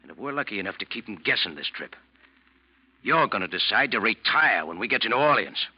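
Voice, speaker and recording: A gruff, scheming first mate in a vintage radio play. His husky voice swings widely as he bullies and sneers with blunt sarcasm. The sound is dull and muffled, like an old broadcast. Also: lowish voice, sing-song, brisk. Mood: menacing. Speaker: radio drama actor. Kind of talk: classic radio drama acting.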